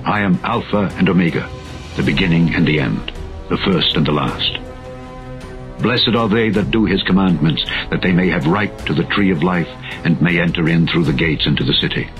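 A man narrating a dramatized scripture reading in a solemn, measured, formal manner. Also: low-pitched, fairly bright tone. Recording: dull lo-fi audio with music behind. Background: background music.

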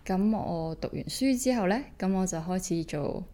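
Relaxed low-voiced young woman guest. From Hong Kong. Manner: relaxed, pauses with fillers as she thinks. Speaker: guest, fashion model. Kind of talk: casual interview chat.

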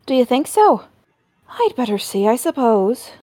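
Woman doing a brisk fussy housekeeper. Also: a little high, sing-song, warm tone, no room sound, studio quality, voice pushed up. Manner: bossy, brisk.

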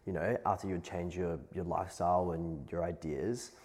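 A man's voice: low-pitched, steady tone, normal speed, a little mellow. A man from New Zealand with a New Zealand accent, hosting a casual interview in a friend's home and laughing often. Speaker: podcast host. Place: guest's home.

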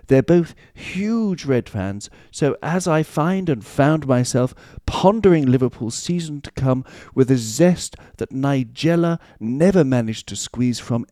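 A man's voice: mid-range voice, lively intonation, a little slow, warm tone, dry recording. Host in his late 30s, playful and wordy, dry sound. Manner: playful, florid, stumbling restarts. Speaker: podcast host. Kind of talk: casual podcast chat.

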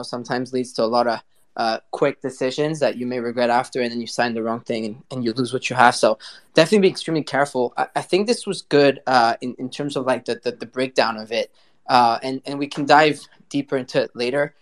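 A man hosting a live audio chat show, talking casually in slang and often repeating his words as he goes. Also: mid-range voice. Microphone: remote live audio call.

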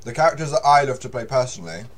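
A young man with a deep voice, a guest on a podcast, chatting in a friendly way with frequent fillers. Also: animated, very fast. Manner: friendly, laughs often, hesitant fillers. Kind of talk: casual chat.

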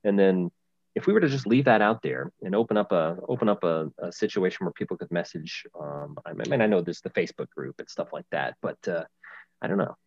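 A man's voice: sits low, expressive, fairly quick, darkish tone, dry recording, dull audio. A man co-hosting a podcast, chatting casually and rambling with lots of restarts. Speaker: podcast co-host. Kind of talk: casual chat.

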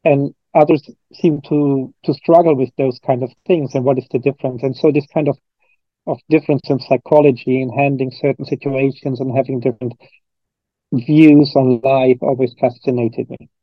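A man's voice: mid-range voice, steady tone, moderate pace, dry recording, lo-fi sound. A man from Germany, a coach and trainer answering interview questions in a thoughtful, rambling way, often restarting his sentences.

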